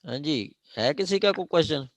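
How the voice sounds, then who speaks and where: A man's voice: on the high side, animated, a little fast. A man with a Pakistani accent lecturing on law online, explaining with examples and frequent check-in tags, mixing in English legal terms.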